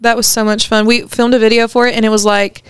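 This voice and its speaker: A woman with a lower voice than most women chats with energy as a podcast guest. Her tone is smooth and clear. The sound is dry and close to the mic. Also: level tone, dry recording. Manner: upbeat, chatty, quick to laugh. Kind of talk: casual interview chat.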